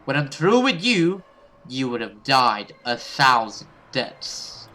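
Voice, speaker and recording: Horror game demoness, read by a man: contemptuous, menacing, slow deliberate threats, bright forceful tone. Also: mid-range voice, very animated, exaggerated voice. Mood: cruel menace. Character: venomous, gloating female demon threatening torture. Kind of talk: horror visual novel read-aloud.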